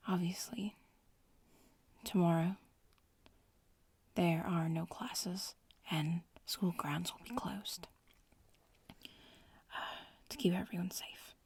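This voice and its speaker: A bratty young witch-in-training, played by a woman, whispers and murmurs in an ASMR roleplay. The voice is very breathy and full of fry, drawling slowly and nonchalantly, as if explaining things to a classmate. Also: on the low side, a little mellow, exaggerated voice.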